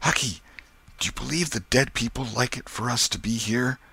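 Guy doing a scared kid in an audiobook, voice pushed up high, hushed n urgent, country talk. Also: sing-song, moderate pace, gravelly, breathy edge, full character voice. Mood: hushed, uneasy. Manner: breathless, rustic dialect with hushed urgency. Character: anxious, whispering young boy, superstitious but reassuring.

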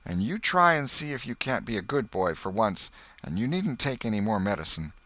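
A man voicing a stern but soft-hearted old aunt who scolds and exclaims. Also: lowish voice, sing-song, moderate pace, no room sound, heavily acted. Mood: softened.

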